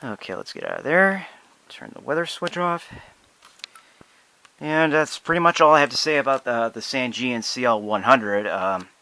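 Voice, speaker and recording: A young man giving a casual, unscripted product demonstration in a halting, hesitant, apologetic way. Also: mid-range voice, pushed and bright. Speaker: amateur product reviewer.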